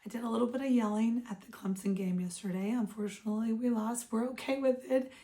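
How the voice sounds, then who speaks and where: Woman vlog host, hoarse and froggy, warm mellow tone, chatty show-and-tell. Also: on the high side, fairly even intonation, quick pace. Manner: chatty, upbeat, calls viewers friends. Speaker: craft shop owner and vlog host.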